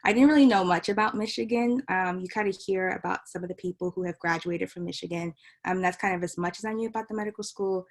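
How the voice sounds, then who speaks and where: A woman's voice: fairly low pitch, fast talker, few pauses. A young woman in her twenties, born in Cameroon and raised in Maryland, a medical student telling her story in a chatty way over a video call. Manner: chatty, laughs easily. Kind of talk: casual storytelling.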